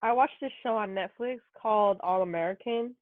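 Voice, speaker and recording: A woman's voice: medium pitch, brisk, dry recording. A young woman chatting casually in a group podcast conversation. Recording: muffled, phone-like, thin sound.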